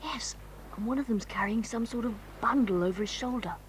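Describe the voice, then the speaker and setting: A woman acts out a brave, sensible teenage boy on an old story tape. His voice sits fairly high and comes in urgent, hushed whispers. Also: brisk, slight background noise, natural voice. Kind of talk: 1980s radio-style audio drama.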